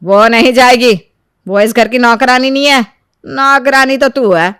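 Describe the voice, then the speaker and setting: A woman's voice: on the high side, unhurried, fairly bright tone, clean tone. A woman playing a domineering, spiteful middle-aged mother-in-law in a story, scolding and bossy. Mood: contemptuous. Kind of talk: Hindi moral story voice acting.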